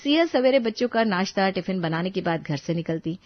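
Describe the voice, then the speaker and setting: A woman's voice: mid-range voice, animated, fairly quick, muffled recording. A woman reading a scripted moral story as its narrator.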